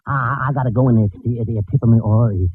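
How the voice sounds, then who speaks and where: Stuttering, bumbling comic sidekick, old radio. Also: male voice, mid-range voice, fairly even intonation, fast talker, slightly rough. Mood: agitated, stammering. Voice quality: stammering, stuttering. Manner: flustered, giggly, trips over words. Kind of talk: 1940s radio comedy acting. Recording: muffled narrowband old-time radio recording.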